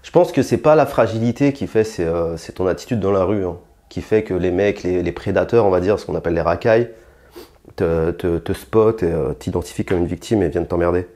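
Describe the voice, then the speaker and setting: A man's voice: a little fast, warm tone. A young French man answering interview questions bluntly and provocatively, with many restarts and fillers. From France. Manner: rises in pitch and force when heated. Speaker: interviewed rapper.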